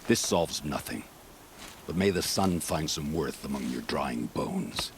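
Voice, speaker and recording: An actor playing a weary, disillusioned soldier in a video game, speaking in a grim, subdued way. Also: male voice, extremely low pitch, animated, a little slow, exaggerated voice. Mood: weary contempt. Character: weary, disillusioned male soldier, grimly resolute. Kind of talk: dramatic video game acting. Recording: clear noise behind the voice.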